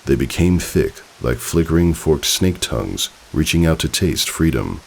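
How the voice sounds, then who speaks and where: A man reading a story aloud as narrator, in an expressive, theatrical, dramatic style. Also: a bass voice, normal speed, vocal fry, slight background noise, poor audio. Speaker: fan fiction narrator.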